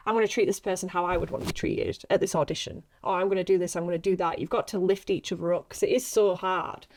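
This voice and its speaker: A woman's voice: mid-range voice, a little mellow. A woman actress in a casual podcast chat, earnest and encouraging, often repeating herself for emphasis. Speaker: actress guest.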